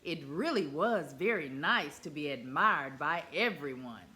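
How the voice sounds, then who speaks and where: A woman storyteller reads a children's picture book aloud, expressive and animated. Her voice is bright and her pitch swings widely. Faint music plays softly underneath. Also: measured pace.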